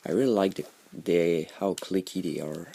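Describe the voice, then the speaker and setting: A man's voice: deep voice, normal speed, gravelly, mellow, husky. A young man with a French Canadian accent, a native French speaker, hesitantly explaining a handmade project in a casual show-and-tell video, with many ums and restarts. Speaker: hobbyist video maker.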